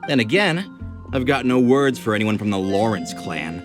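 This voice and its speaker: A man's voice: mid-range voice, big pitch swings, moderate pace, over music, lightly acted. An actor playing a rude townsman who gives curt, dismissive replies.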